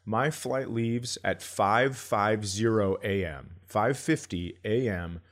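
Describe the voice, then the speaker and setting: A man hosting a comedy podcast, telling stories in an animated, grumbling, sweary way and acting out quotes. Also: on the low side, slow pace. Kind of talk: comic storytelling in casual podcast chat.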